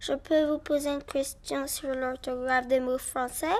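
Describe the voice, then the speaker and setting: Polite high-voiced little girl animal. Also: flat delivery, unhurried. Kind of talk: French cartoon dub.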